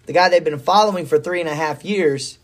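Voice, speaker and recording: A hoarse middle-aged man with a slightly high-set voice, chatting as a podcast pastor. He rambles in a folksy, upbeat way, with a worn, scratchy throat. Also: brisk. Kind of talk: casual faith talk.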